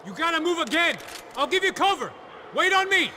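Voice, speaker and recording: Urgent, high-strained gunman buddy, gunfire. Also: sing-song, brisk. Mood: urgent, alert. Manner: urgent, protective. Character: loyal, urgent gunman buddy shouting directions in a firefight. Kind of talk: video game action acting. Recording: loud gunfire and battle noise.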